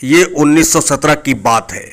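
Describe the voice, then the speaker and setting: Higher-pitched man narrating a news feature. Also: normal speed, fairly bright tone.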